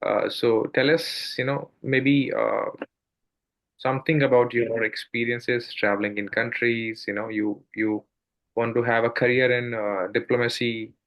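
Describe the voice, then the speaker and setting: A man's voice: medium pitch, level tone, a little slow, fairly bright tone, poor audio. A man hosting an interview show, reading an introduction and asking questions with frequent uhs and you-knows. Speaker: podcast host.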